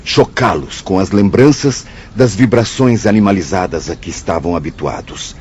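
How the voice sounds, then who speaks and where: An actor with a Brazilian accent playing a grave, insistent spirit counsellor in a radio drama, formal and emphatic. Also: male voice, fairly low pitch, normal speed, a little mellow, close to an everyday voice. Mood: urgent. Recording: dull lo-fi audio, faint noise.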